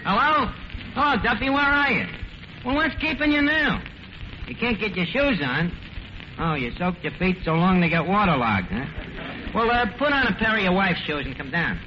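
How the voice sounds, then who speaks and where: An actor playing a brash, self-important tavern manager in a 1940s radio comedy, slangy bad grammar and mock-grand words. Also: male voice, sits high, lively intonation, moderate pace. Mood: bustling, evasive. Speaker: comedy show host. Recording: dull, noisy old radio broadcast.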